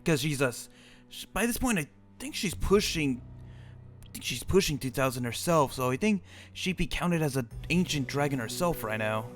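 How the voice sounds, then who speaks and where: Young guy rambling in character with lots of restarts, slightly high voice, music under it. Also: lively intonation, normal speed. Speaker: roleplayer. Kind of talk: in-character roleplay chat.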